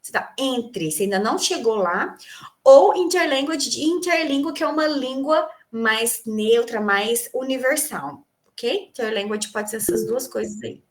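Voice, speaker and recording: A woman teaching an English class online, her voice sitting a little high. She explains each term step by step, asks her students questions, and then answers them. She speaks into a computer mic on a video call. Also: animated, normal speed, slight background noise.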